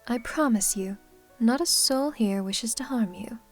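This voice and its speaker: A woman playing a gracious, commanding, centuries-old vampire hostess in an ASMR roleplay, speaking formally with gentle teasing. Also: fairly high pitch, measured pace, faint music behind. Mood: reassuring, poised.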